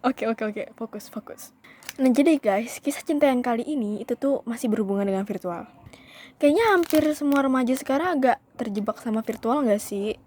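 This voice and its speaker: A young woman chats through a casual storytime for her viewers. Her voice sits fairly high, and faint noise hums underneath. She keeps calling out to her audience and drops English phrases along the way. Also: lively intonation, a little fast, a little mellow. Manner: chatty, says "guys" often, slips into English phrases. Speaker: vlogger.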